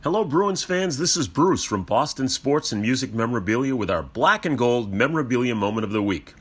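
Man, mid pitch, very animated intonation, scripted sponsor advertisement. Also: fairly quick, slightly rough. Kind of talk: scripted promotional read.

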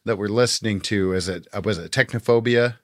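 A man's voice: fairly low pitch, a little fast, dry recording, clean studio sound. A man co-hosting a podcast, chatting informally and enthusiastically like a fan. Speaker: podcast co-host. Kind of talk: casual fan discussion.

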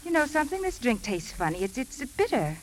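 A woman's voice: sits high, big pitch swings, a little fast. An actress in a 1950s radio drama playing a poised, proud woman with a polished, composed way of talking. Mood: curious, intimate. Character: poised, cool woman suspected of poisoning, proudly defiant. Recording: 1950s radio broadcast, faint noise.

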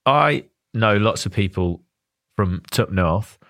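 A man with a southern English accent, narrating a documentary podcast and interviewing a guest in a measured, friendly way. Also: lowish voice, expressive, fairly bright tone.

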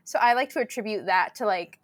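Animated woman telling stories loudly. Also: medium pitch, a little fast. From Southern California. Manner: loud, animated storyteller who acts out quotes. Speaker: podcast guest. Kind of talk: casual podcast chat.

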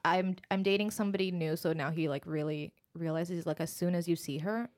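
A woman's voice: on the low side, fairly even intonation, a little fast, no room sound, studio quality. A young woman from Puerto Rico with a Puerto Rican accent, a podcast guest chatting casually with lots of fillers and laughs. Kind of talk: casual chat.

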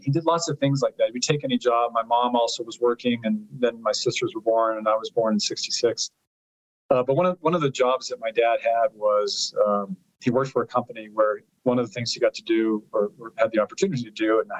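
A man's voice: mid-range voice, steady tone, fast talker, no echo, low-quality recording. A man in his fifties, a guest in an interview, telling family stories in a rambling way, often repeating words as he searches for his thought; Italian was his first language. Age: 50s. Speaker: guest, lawyer and author. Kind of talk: storytelling interview.

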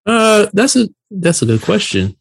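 A man's voice: sits high, sing-song, measured pace, vocal fry, breathy edge, no echo. A man in his late thirties from Barbados, co-hosting a podcast in casual conversation. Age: late 30s. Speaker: podcast co-host.

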